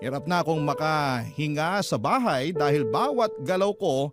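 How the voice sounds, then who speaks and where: Male radio storyteller over loud music. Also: on the high side, lively intonation, normal speed. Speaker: radio drama host and narrator. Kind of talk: dramatic first-person letter reading. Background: loud music bed.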